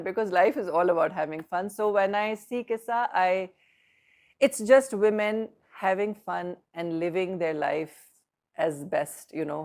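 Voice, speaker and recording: Low-voiced woman, earnest guest in a home interview. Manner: earnest, warm-hearted, mixing languages. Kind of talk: casual interview talk. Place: her living room.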